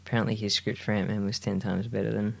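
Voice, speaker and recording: A man's voice: on the low side, flat delivery, quick pace, creaky, a little hiss or hum. A man co-hosting a film podcast, easygoing in casual chat, often restarting his sentences. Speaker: podcast co-host.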